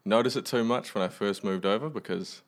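A young man from Western Australia with a deep voice talks casually as a musician being interviewed. He keeps things understated and matter-of-fact. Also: brisk. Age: young adult. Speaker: musician guest. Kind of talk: casual chat.

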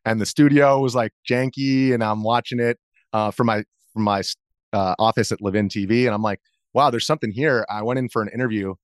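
A man's voice: on the low side, fairly even intonation, fairly quick, no room sound, clean studio sound. A man in his thirties speaking as an interview guest, answering casually in a hesitant, rambling way. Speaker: interview guest, video journalist.

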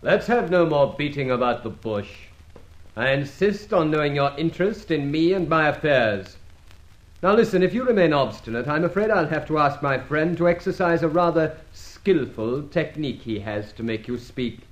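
Menacing, suave villain played by a man, higher-pitched. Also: lively intonation, normal speed, lightly acted. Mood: threatening. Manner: formal, clipped, threatening. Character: suave menacing male foreign-agent villain, cornered traitor. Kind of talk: old radio adventure serial acting.

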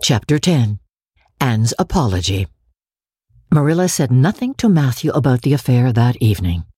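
Low, expressive woman narrator. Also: moderate pace, slightly rough, no room sound, clean studio sound. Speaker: audiobook narrator. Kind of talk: dramatic literary narration.

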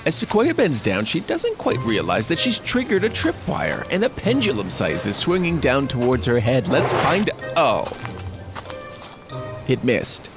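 A man's voice: mid-range voice, huge pitch swings, measured pace, music in the background. A man playing a snide, mock-dramatic reality-contest announcer narrating an audio drama with wry commentary. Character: snide male reality-contest announcer, gleeful about danger.

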